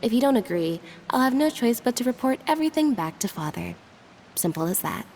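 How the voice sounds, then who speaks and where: A woman as a blunt, deadpan young woman. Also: a little high, brisk, slight background noise. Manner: deadpan, flat delivery. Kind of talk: game voice acting.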